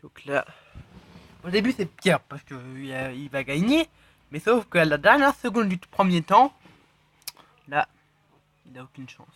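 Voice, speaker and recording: A man's voice: a little high, unhurried, pauses often. Man with a cold rambling over gameplay. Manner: rambling, excitable, self-correcting, drops to mutters. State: has a cold. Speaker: mobile game channel host. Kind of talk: casual gameplay commentary.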